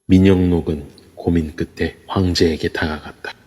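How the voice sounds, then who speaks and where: A man narrating an audio drama in an even storytelling manner. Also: deep voice, moderate pace, breathy edge. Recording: echoey long reverb.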